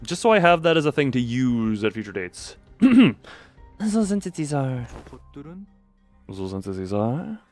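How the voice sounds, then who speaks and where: A man's voice: mid-range voice, big pitch swings, a little slow, long pauses, darkish tone, faint music behind. A man hosting a livestream, rambling casually and jokingly as he goes off on tangents and talks back to chat. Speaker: livestream host, streamer.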